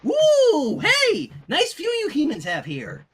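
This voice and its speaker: A man voicing a brash, excitable young female cartoon pony, blurting and breezy, in a fan fiction reading. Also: very high-pitched, takes it slowly, pitched above the performer's own voice, exaggerated voice. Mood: brash, cheerful.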